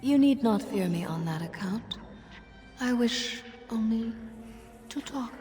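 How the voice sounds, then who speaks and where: A woman voicing a calm, haughty, menacing female demon in a fantasy video game, breathy and half-whispered. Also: a little high, takes it slowly, faint music behind. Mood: feigned civility.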